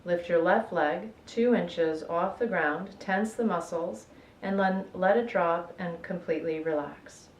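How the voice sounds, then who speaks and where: A woman guiding a relaxation meditation in a measured, soothing way, with gentle pauses. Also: fairly low pitch. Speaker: yoga nidra guide. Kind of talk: guided relaxation meditation, instructional narration. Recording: echoey room with faint background noise.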